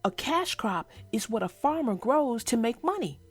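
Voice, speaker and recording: A woman's voice: on the high side, sing-song, moderate pace, slightly rough, faint music behind. A woman voicing a sensible, thoughtful young boy bear cub in a children's storybook, reasoning things out.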